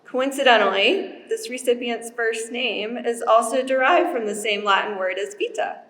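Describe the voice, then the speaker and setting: A woman's voice: fairly high pitch, moderate pace, fairly bright tone. A woman presenting at a school awards ceremony. She reads a prepared citation formally and laughs lightly at the funny parts. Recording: long reverb from a large hall.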